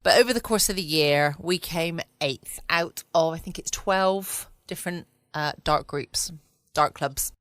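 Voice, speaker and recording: A woman's voice: sits low, a little slow, fairly bright tone, no room sound. An adult woman with a southern English accent, chatting casually and playfully as host of a bedtime podcast recorded at home. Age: adult past her twenties. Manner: chatty, playful, self-mocking. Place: quiet room at home.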